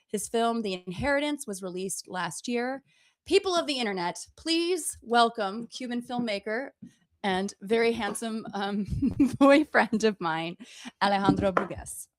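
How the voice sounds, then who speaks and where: A woman's voice: fairly high pitch, lively intonation, a little slow, no echo, studio quality. A woman in her forties hosting a casual livestream interview, with a playful, teasing manner. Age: 40s. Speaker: interview show host. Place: indoors on a couch.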